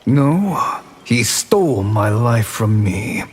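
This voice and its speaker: An actor playing an arrogant, threatening sorcerer in a video game, with smug, formal delivery. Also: male voice, medium pitch, sing-song, breathy edge, heavily acted. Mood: smug menace. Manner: smug, formal, deliberate menace. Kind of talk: video game character dialogue. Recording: long reverb, ambient noise behind.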